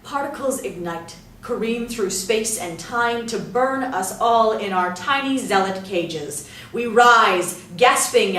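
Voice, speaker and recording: A woman reciting poetry dramatically, in a measured, theatrical way with deliberate pauses. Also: on the high side, a bit bright. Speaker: poetry reader. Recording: roomy echo, distant mic, light noise.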